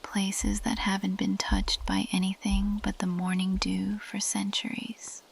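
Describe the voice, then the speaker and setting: A woman playing an ancient, possessive plant monster girl in an intimate ASMR roleplay, speaking in a breathy, lingering whisper. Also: mid-range voice, monotone, unhurried, full character voice, low-quality recording. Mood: soft, tender.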